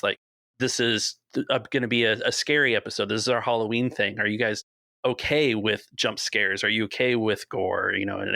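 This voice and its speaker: A man's voice: on the low side, gravelly, pushed and bright, dry recording. A man who runs a podcast game, rambling through his answer with restarts on a panel. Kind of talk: casual panel discussion.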